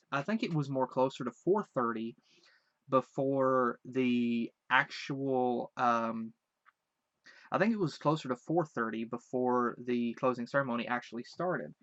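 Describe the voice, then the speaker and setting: Man, light Southern accent, mid pitch, rambling and hesitant recollection, dry dull lo-fi recording. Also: a bit bright, no room sound. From Virginia. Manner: rambling, hesitant, many restarts. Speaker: vlogger. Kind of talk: casual recollection.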